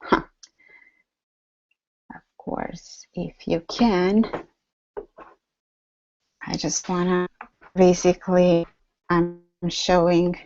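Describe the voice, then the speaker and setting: A woman's voice: lowish voice, mellow, dry recording, muffled recording. A woman with a Romanian accent hosting a live online workshop, chatty and full of fillers and restarts. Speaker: workshop host. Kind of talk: live webinar teaching.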